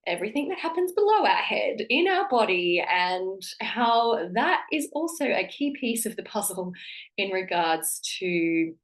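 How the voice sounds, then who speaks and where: A woman in her thirties, a little croaky and congested, hosting a solo podcast about motherhood in an encouraging, conversational way with frequent ums and restarts. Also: medium pitch. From Australia. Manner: warm encouraging tone with filler-heavy restarts. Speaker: podcast host.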